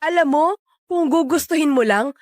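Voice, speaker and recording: Actress as a sassy, high-pitched Filipina best friend. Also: female voice, moderate pace, a little mellow, no room sound, clean studio sound, natural voice. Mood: scolding. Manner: sassy, tactless, nagging. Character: blunt, sarcastic young best friend, scolding and teasing. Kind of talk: Filipino radio drama acting.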